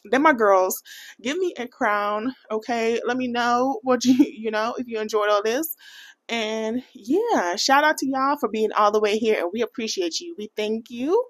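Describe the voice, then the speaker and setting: An American woman chatting casually in a budgeting vlog, animated and playful, laughing often and dropping into a Jamaican accent for bits. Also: a little high, moderate pace. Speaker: budgeting vlogger.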